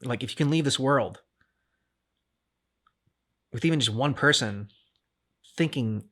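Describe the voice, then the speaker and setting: Male co-host, bright forceful tone, casual and thoughtful, halting delivery with repeated words. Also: mid-range voice. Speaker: co-host of a reaction channel. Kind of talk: casual reflective discussion.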